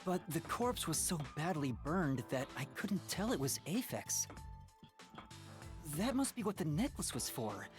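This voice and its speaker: Young male detective, lowish for a woman, animated intonation, earnest, hesitant, video game, music behind. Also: a little slow. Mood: reflective. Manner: earnest, reasoning aloud.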